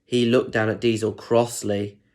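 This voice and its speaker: A man's voice: lowish voice, level tone, unhurried, a little mellow. A man reading a children's story aloud expressively, with chatty asides and questions to young listeners about the pictures.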